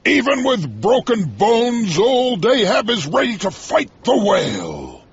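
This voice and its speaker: A man voicing an old, obsessed sea captain in an audiobook, bellowing with a raspy, strained voice. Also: high voice, huge pitch swings, takes it slowly, dry recording, muffled recording, voice pushed up, full character voice. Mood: defiant. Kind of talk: audiobook character voice.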